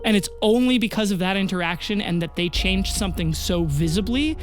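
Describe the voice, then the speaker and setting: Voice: mid-range voice, expressive, a little fast, soft background music. A game master narrating a tabletop roleplaying game with dramatic, casual, improvised storytelling.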